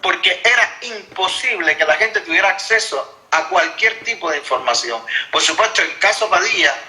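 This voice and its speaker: Guy explaining things slow and careful, high bright voice, echoey room. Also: animated. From Cuba. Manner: explanatory, measured, with frequent restarts. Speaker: interviewed author. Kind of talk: interview answers.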